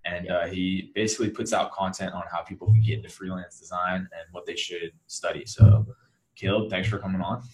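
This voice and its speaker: A man hosting an interview, asking questions in a relaxed way with short acknowledgements. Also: low-pitched, brisk, rough recording. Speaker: podcast host.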